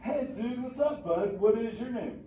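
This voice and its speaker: A man's voice: very high-pitched, expressive, normal speed. A man playing a laid-back, goofy surfer dude sea turtle, bantering in drawling slang at a live theme park show. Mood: teasing, amused. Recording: echoey theme park theater, distant, noisy.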